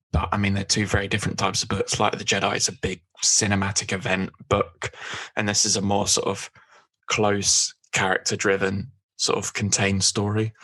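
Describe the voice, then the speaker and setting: A man co-hosting a podcast, chatting in a relaxed way with small restarts. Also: lowish voice. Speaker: podcast co-host. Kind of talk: casual podcast chat.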